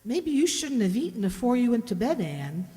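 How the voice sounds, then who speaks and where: A woman as a mellow, protective country mother. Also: a little high, big pitch swings, moderate pace. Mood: gentle, guarded. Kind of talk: radio drama acting. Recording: hall-like long reverb.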